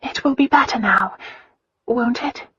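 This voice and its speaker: A narrator voicing a vulnerable young woman in love in a romance audiobook, with a soft, breathy, pleading delivery. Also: medium pitch, unhurried, dry recording, dull audio, pitched above the performer's own voice. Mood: hurt, urgent. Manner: pleading, intimate. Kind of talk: romance audiobook character dialogue.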